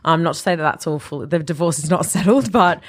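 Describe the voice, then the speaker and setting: A woman's voice: low voice, fairly quick. A young woman, a business founder talking casually in a podcast interview, chatty and full of restarts.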